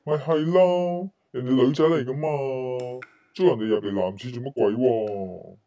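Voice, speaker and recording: A man's voice: medium pitch, a little slow, warm tone, lo-fi sound, lightly acted. A man with a Hong Kong accent playing a vain, coy young woman with a whiny, campy manner in a comic radio drama. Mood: indignant.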